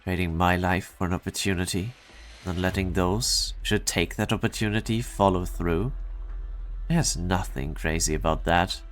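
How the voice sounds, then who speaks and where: A man voicing a weary, steadfast soldier in a dramatic game dub, with a somber, measured delivery. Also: low-pitched, soft background music, lightly acted. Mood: resolute. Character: weary, steadfast male scout, doomed veteran soldier.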